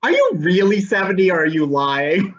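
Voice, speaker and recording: A woman's voice: on the low side, big pitch swings, normal speed, dull audio, a little hiss or hum. A woman moderating a virtual book event, playfully passing along audience questions over a video call. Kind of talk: relaying audience questions, casual chat.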